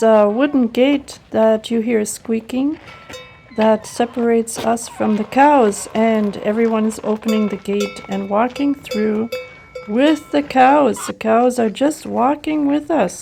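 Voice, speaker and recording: Slow-talking woman narrating a travel vlog. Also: fairly high pitch, gravelly, dark tone, slight background noise, poor audio. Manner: enthusiastic, appreciative. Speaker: travel vlogger.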